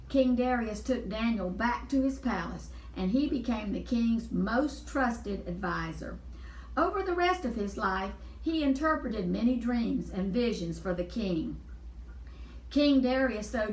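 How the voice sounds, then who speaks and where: A woman's voice: medium pitch, a little hiss or hum. A woman storyteller reading a Bible story aloud for children, explaining and repeating words for emphasis. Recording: echoey room, distant mic.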